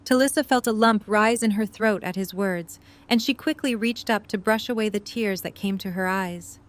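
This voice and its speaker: A female narrator with a medium-pitched voice. She reads scripted prose that links the scenes of a romantic audio drama. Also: moderate pace.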